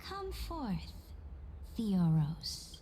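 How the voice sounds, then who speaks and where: A woman's voice: mid-range voice, sing-song, very slow, warm tone, slight background noise. A woman voicing an imperious, shrewd empress in a video game, regal and formal with a wry, commanding manner. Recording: game dialogue over background music.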